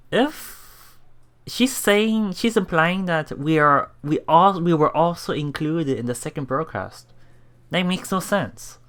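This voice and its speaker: A woman giving a casual, giggly commentary while reading visual novel lines aloud, often reacting and repeating words. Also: low voice. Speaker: let's play streamer. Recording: music behind the voice. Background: visual novel game music.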